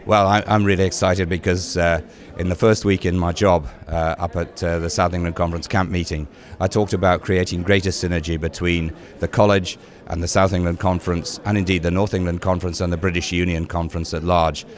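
A man's voice: low voice, level tone, a little fast. An older man, a college principal, giving a hesitant interview answer into a handheld microphone in a large hall.

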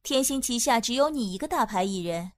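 A woman's voice: a little high, animated, brisk, no room sound, studio quality, natural voice. A woman playing a shrewd, teasing music-business insider who coaxes in an audio drama. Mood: persuasive, scheming. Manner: coaxing, teasing. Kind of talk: radio drama acting.

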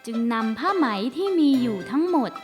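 A woman tells a children's story in a high, expressive storybook voice. Clear children's music with tinkling jingles plays behind her. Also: brisk. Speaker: narrator. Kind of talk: scripted children's story narration.

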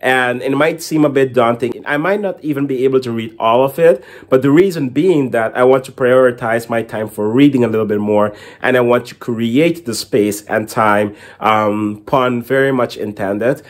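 A man's voice: medium pitch, moderate pace, few pauses. A young adult man from the Caribbean hosting a book channel, talking casually to camera in a rambling, filler-heavy way. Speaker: book reviewer and channel host. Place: small indoor room at home with bookshelf.

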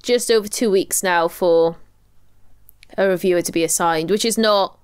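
A woman's voice: lowish voice, moderate pace, smooth, no echo, studio quality. A young woman with a Welsh accent chatting casually as a podcast co-host. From Wales. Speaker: podcast co-host and astrophysics researcher. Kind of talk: casual chat.

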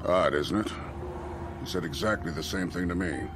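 An actor playing an indignant, commanding army colonel in a radio drama. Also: male voice, sits low, moderate pace, over music. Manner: brusque and commanding.

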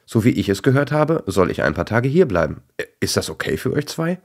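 A man's voice: mid-range voice, sing-song, a little fast, voice pushed up. A man voicing a tiny, friendly, slightly hesitant female dog in a children's story.

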